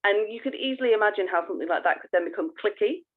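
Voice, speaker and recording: A woman's voice: mid-range voice, lively intonation, racing. A woman co-hosting a podcast, chatting casually in an earnest, effusive manner. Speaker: podcast co-host. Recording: tinny phone-like call audio.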